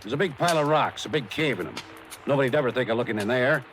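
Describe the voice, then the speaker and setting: An actor playing a callous male small-time crook, gruff and scheming, in a 1950s radio crime drama. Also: mid-range voice, fast, music in the background, close to an everyday voice. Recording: old radio recording, faint noise.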